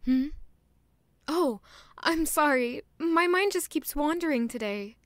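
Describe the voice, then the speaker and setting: A woman's voice: high voice, expressive, slow pace, no room sound, studio quality. An actress playing a polite, anxious young woman in royal service in an audio drama, earnest and a little hesitant. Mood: surprised, grateful. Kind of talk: scripted audio drama acting.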